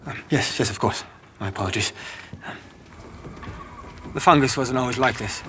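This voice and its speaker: A man's voice: medium pitch, fairly bright tone, slight background noise, lightly acted. Man acting as meek, hesitant male scientist. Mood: apologetic, explaining. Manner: hesitant, halting, apologetic, courteous. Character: meek, nervous, deferential male xenobiologist scientist. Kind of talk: video game cutscene acting.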